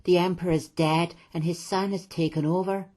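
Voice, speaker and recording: A man voicing a stern, sensible lady in a storybook, with a measured, chiding manner. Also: sits high, level tone, darkish tone, voice pushed up, lightly acted. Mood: explaining.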